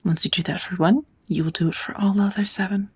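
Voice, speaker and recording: A woman voicing a kind, knowledgeable witch who gently teaches magic in a measured, whispered ASMR roleplay. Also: mid-range voice, steady tone. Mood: kind, patient. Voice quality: soft, breathy whispering.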